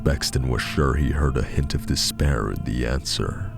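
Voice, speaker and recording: A man reading a scripted horror story as narrator, in a measured, dramatic way. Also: extremely low pitch, gravelly. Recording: music behind the voice.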